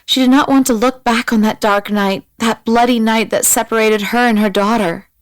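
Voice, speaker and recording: A woman narrating a scripted audiobook in a measured, dramatic manner. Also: on the high side, fairly even intonation, dry recording.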